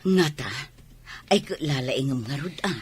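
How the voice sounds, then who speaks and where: A woman's voice: extremely low pitch, expressive, slow, breathy edge, full character voice. A woman with an Ilocano Filipino accent playing a protective, proud middle-aged mother in an audio drama. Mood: concerned. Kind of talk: amateur audio drama acting.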